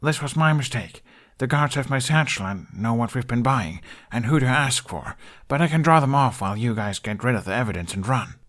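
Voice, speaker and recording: A man's voice: medium pitch, animated, brisk, fairly bright tone, natural voice. A man voicing a determined, self-sacrificing young male runaway in a fantasy audiobook, urgent and insistent. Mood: resolute.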